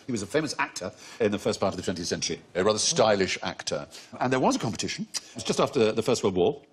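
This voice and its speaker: A man's voice: lowish voice, sing-song, racing, breathy edge. A man hosting a panel quiz show, telling stories in an erudite, amused way in a television studio. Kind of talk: witty panel show chat. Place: TV studio with audience.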